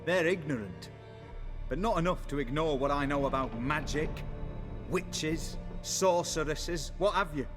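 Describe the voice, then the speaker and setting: An actor playing a frightened, testy old scholar of the occult in a video game, erudite and suspicious. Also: male voice, on the high side, unhurried, music in the background, close to an everyday voice. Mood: resigned, wary. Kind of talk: video game drama acting.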